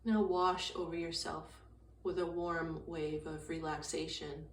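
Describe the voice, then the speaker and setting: A woman with a slightly low voice guides a meditation calmly and gently. She speaks very slowly, in short phrases with long pauses. The sound is echoey, as if she is some way from the mic. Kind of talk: guided meditation, slow soothing instruction. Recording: roomy echo, distant mic, faint noise.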